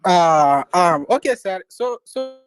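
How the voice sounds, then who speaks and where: A man's voice: high voice, sing-song, slow pace, faint music behind. A man from India with an Indian accent, asking a question on a voice chat, polite and hesitant, saying sir again and again. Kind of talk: casual voice-chat Q&A.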